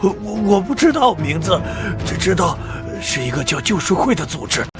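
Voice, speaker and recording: An actor playing a fanatical, slippery mad scientist villain in an animated drama, with theatrical delivery. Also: male voice, high-pitched, moderate pace. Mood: panicked, pleading. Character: smug fanatical male mad scientist villain, cowardly when threatened. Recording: loud background music.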